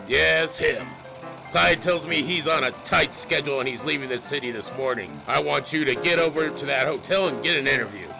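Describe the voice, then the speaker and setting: An actor playing a blunt, bossy newspaper editor with a gravelly voice. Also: male voice, mid-range voice, brisk, music in the background, lightly acted, rough recording. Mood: gruff. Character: gruff blustery male newspaper editor.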